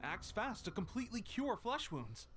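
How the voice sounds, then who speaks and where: Eager, high-voiced young soldier. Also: male voice, big pitch swings, moderate pace, music in the background. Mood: eager, helpful. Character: eager, friendly young male soldier in fantasy army. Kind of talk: anime game voice acting.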